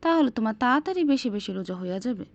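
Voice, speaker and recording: A woman's voice: on the high side, lively intonation, rapid-fire, dry recording, dull audio. A Bangladeshi woman playing a patient, coaxing mother in an amateur cartoon.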